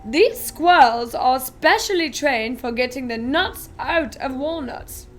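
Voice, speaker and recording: A woman reading aloud and voicing an eccentric, excitable male chocolate factory owner who talks loudly and briskly. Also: sits high, faint music behind. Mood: eager, instructive. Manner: loud, brisk and commanding.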